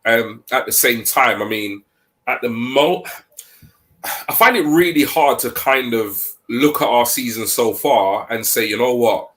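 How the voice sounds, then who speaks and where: A man with a stuffy head cold talking casually about football on a podcast, hesitant with many pauses. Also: medium pitch, a bit bright. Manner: hesitant, many ums, thinking aloud. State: congested with a cold. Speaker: podcast co-host.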